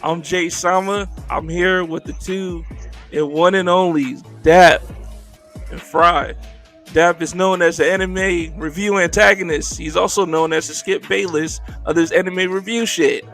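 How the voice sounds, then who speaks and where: A man's voice: high voice, steady tone, a little slow, fairly bright tone, soft background music. A man hosting a casual anime podcast, joking and laughing easily as he introduces his co-hosts. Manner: laid-back, joking, laughs often. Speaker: podcast host.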